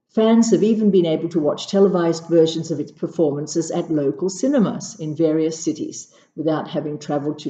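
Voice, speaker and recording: A woman with a low, very dark and soft-edged voice reads a scripted academic lecture. The sound is roomy and echoey. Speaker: guest lecturer. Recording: dull, echoey room recording.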